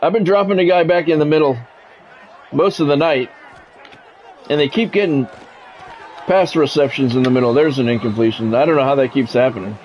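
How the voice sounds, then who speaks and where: A man's voice: on the high side, fairly quick, pauses often, darkish tone, some chatter behind, slight background noise, low-quality recording. A man streaming a football video game, mixing sports play-by-play with casual, salty chat to viewers and swearing freely.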